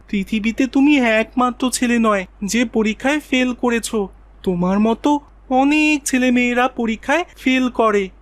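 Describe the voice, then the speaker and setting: A woman's voice: on the high side, no room sound, close to an everyday voice. A woman as an encouraging cartoon schoolboy friend. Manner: friendly, insistent pep talk. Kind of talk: Islamic cartoon moral story dialogue.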